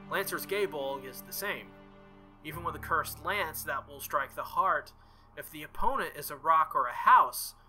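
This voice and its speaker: A man streaming a visual novel, reading its dialogue aloud and adding playful, jokey commentary, sometimes stumbling and rereading. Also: on the high side. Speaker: video game streamer.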